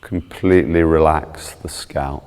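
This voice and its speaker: A man calmly guiding a meditation, soothing and measured, with long pauses between short instructions. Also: a bass voice, smooth, big-room sound. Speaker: yoga and meditation teacher.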